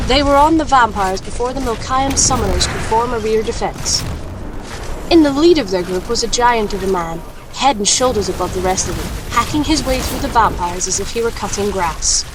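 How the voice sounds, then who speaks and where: A woman's voice: a little high, noisy background, lightly acted. A woman playing a grave alchemist, delivering theatrical first-person storytelling in a fantasy audio drama. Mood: tense recounting. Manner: solemn, theatrical. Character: grave female alchemist of a sisterhood, recounting battles. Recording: loud music bed underneath.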